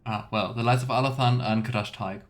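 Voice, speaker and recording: A man's voice: mid-range voice, fairly even intonation, brisk. A man streaming a video game, giving casual commentary and thinking aloud. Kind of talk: casual let's play commentary.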